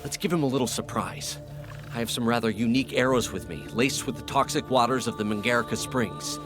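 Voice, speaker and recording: A man playing a cunning, confident archer scout in a fantasy game, speaking in a measured, conspiratorial way. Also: mid-range voice, lightly acted. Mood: confident, sly. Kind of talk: dramatic fantasy game cutscene acting. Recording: prominent background game music.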